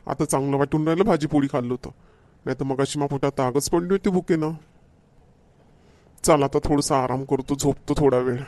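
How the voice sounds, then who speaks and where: Cartoon husband, middle-aged, bossy grumbler with Varhadi Marathi accent male performer; higher-set voice, dry studio-like sound. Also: fairly even intonation, a little fast, a little mellow, no echo, close to an everyday voice. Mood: grumbling. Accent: Varhadi Marathi of Vidarbha. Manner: nagging, repetitive complaining. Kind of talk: amateur cartoon comedy dialogue.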